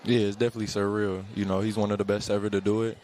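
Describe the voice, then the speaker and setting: A man's voice: on the low side, little pitch movement, rapid-fire, darkish tone, slight background noise. A young man, a rookie player, answering courtside questions politely in stock phrases, in an arena. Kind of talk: postgame courtside interview.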